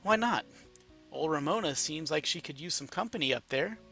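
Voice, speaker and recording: Man playing an easygoing, bright-voiced storybook hero. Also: on the high side, lively intonation, moderate pace, faint music behind. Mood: friendly. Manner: easygoing, informal. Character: casual, friendly male newcomer hero of story.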